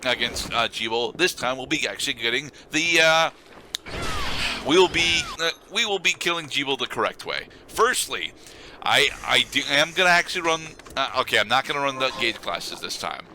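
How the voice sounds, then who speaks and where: A man's voice: medium pitch, very animated, normal speed, bright, forceful tone, a little hiss or hum. A man doing casual playthrough commentary as co-host of a gaming video, rambling and correcting himself as he goes. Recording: game music behind the voice. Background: video game music and sound.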